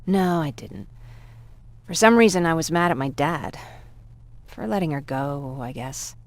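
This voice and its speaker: A wistful woman who survived the apocalypse. Her voice has a creaky edge, and she talks in a reflective, confiding way, as in a dramatic video game scene. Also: deep voice, lively intonation, measured pace. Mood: reflective, regretful. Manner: reflective, confessional. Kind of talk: dramatic video game dialogue, intimate reminiscing.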